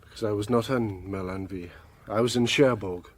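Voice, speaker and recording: An actor playing a proud, defensive, well-bred young gentleman in a radio drama. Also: fairly low pitch, brisk, close to an everyday voice.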